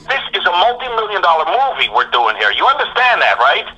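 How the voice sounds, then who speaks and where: A man playing a bullying, impatient movie producer in a radio drama, brash and hectoring with a raspy voice. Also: high-pitched, big pitch swings, fairly quick, pushed and bright, muffled recording, full character voice. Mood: impatient, angry.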